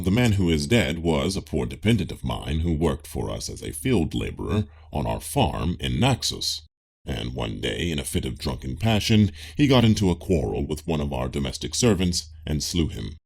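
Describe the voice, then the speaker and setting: A man's voice: low-pitched, gravelly, darkish tone, breathy edge, pitched below the performer's own voice, full character voice. A man voicing a self-assured, pompous Greek man of religion in a declamatory audiobook reading of a philosophical dialogue. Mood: matter-of-fact, righteous.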